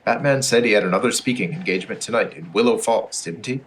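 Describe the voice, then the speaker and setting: A man's voice: fairly low pitch, fast, natural voice. An actor playing an earnest, resolute and protective male superhero, speaking formally in a comedic audio drama. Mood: resolute, concerned.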